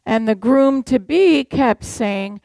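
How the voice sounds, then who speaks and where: A woman's voice: a little high, lively intonation, drawn-out words, creaky, mellow, no room sound. An older woman from Massachusetts preaching a sermon from a church pulpit, telling stories and asking the congregation rhetorical questions. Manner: conversational storytelling with rhetorical questions.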